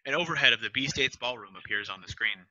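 A man's voice: mid-range voice, animated, quick pace, sharp tone. A young man reading out stage directions as scripted narration on a video call. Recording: dull, lo-fi video call audio.

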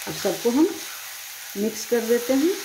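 A woman's voice: a little high, big pitch swings, measured pace. Woman walking you through a recipe step by step, kitchen noise behind her, pan sizzling. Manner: plain step-by-step explaining. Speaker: cooking channel host. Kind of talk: home cooking demonstration voice-over. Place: home kitchen.

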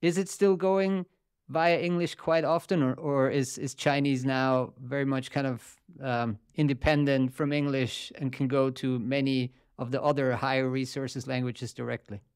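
Crisp-voiced male podcast host. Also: medium pitch, steady tone, moderate pace, dry recording, clean studio sound. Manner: loose, rephrasing questions as he goes. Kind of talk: interview questions. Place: home office. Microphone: studio mic on arm.

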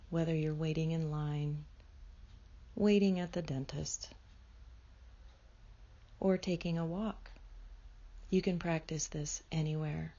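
A woman leading a guided meditation in a slow, soothing way, often dropping into soft whispers. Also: low-pitched, lo-fi sound.

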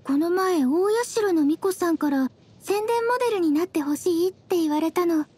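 Gentle high-voiced young traveler girl. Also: expressive, normal speed. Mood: matter-of-fact. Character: gentle, composed young female traveler, quietly teasing. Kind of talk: anime game voice acting.

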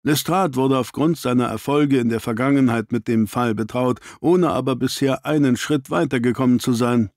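Gentleman doctor companion, voiced by a male narrator: earnest, well-informed, formal, mid-pitched, audiobook mystery. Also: moderate pace, hardly any pauses, no echo, studio quality, close to an everyday voice. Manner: formal, explanatory.

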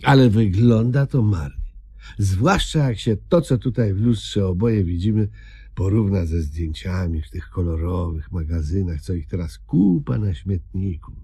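An actor playing a gloomy, grumbling man in a comedy radio play, with a gravelly husky voice and a glum, terse, deadpan delivery. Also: low-pitched, a little slow, dry recording, studio quality, full character voice. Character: gloomy, grumbling man taking stock of his modest life.

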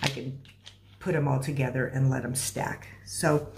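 A woman's voice: extremely low pitch, steady tone, moderate pace, a little mellow. Middle-aged American woman, noisy home recording. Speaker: home cooking instructor. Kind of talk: casual instructional demonstration. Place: small room at home, indoors. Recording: clear background noise with clicks.

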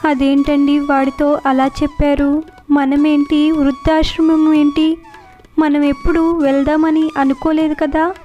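Woman as an elderly mother, high voice, concerned, plaintive, storybook dialogue, faint music. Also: flat delivery, measured pace, long pauses, mellow, lo-fi sound. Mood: worried. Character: worried elderly mother, devoted wife.

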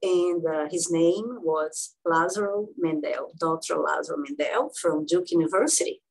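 Husky-voiced Brazilian woman in her sixties. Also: sits low, rough recording. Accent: Brazilian Portuguese. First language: Portuguese. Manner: halting, many fillers and restarts. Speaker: guest physician-researcher. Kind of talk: interview storytelling.

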